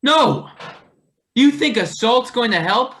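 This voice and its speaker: A man acting a lively, cheeky young intern. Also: very high voice, takes it slowly, full character voice. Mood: indignant. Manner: sarcastic, protesting. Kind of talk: live table read of satirical stage play.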